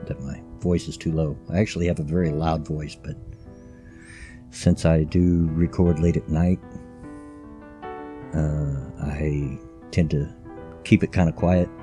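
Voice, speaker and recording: A man's voice: rumbling low, warm tone. An older man, rambling folksily through casual, grumpy commentary recorded at home late at night. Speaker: vlogger. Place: home at night, quiet room.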